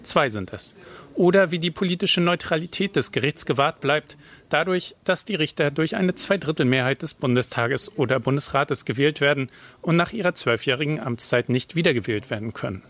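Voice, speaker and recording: A man's voice: a little high, lively intonation, a little fast, fairly bright tone. A man narrating a scripted radio news feature as a reporter.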